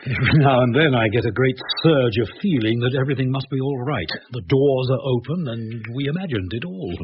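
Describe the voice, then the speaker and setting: A man's voice: mid-range voice, animated, brisk, close to an everyday voice. An actor playing an earnest, self-questioning man in a radio drama. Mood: troubled. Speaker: troubled host of the house. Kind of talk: British radio drama acting. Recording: muffled old radio broadcast with faint hiss.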